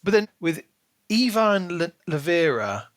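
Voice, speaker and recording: A man's voice: high voice, sing-song, moderate pace, no echo, clean studio sound. A man co-hosting a podcast, chatting casually and animatedly about books. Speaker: podcast co-host. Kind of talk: casual book discussion.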